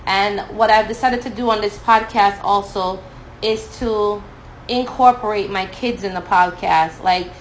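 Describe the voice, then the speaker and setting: A woman's voice: medium pitch, steady tone, unhurried, a little hiss or hum, low-quality recording. A woman in her thirties from Jamaica with a Jamaican accent, hosting a podcast and chatting in a storytelling way straight to her listeners. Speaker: podcast host. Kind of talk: casual podcast monologue.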